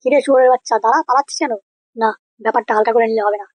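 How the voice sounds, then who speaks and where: Angry cartoon neighbour man, male performer, pitched up, threatening, dry sound. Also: fairly even intonation, rapid-fire, no room sound. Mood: furious. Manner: scolding, threatening, crude. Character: angry, foul-mouthed neighbourhood man protecting his daughter. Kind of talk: comedy cartoon voice acting.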